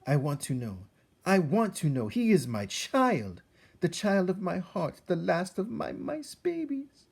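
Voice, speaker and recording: A man's voice: high voice, sing-song, unhurried, vocal fry, breathy edge, pitched above the performer's own voice, exaggerated voice. A man voicing an anguished, theatrical mother mouse in a storybook, pleading and exclaiming in an overwrought way. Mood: desperate.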